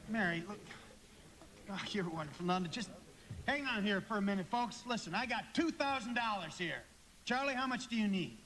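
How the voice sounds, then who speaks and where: A man's voice: high-pitched, expressive, moderate pace, sharp tone, a little hiss or hum. A man playing an earnest young small-town loan manager in a radio drama, folksy, impassioned and prone to stammering. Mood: relieved, urging. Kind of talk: live radio drama acting.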